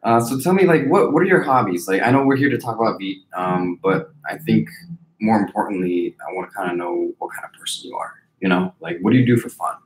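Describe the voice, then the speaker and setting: An echoing room surrounds a man with a fairly low voice as he hosts an interview. He talks informally and slangily, putting questions to his guest in loose, conversational phrasing. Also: fast, a little hiss or hum. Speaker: interviewer, host. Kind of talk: casual, slangy interview chat. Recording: roomy, echoing sound.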